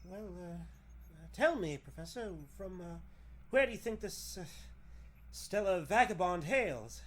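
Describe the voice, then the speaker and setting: A man's voice: sits high, animated, measured pace, fairly bright tone, exaggerated voice. A man voicing a meek, mild-mannered young newspaper reporter in a 1940s radio drama, hesitant, deferential and stammering. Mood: inquiring.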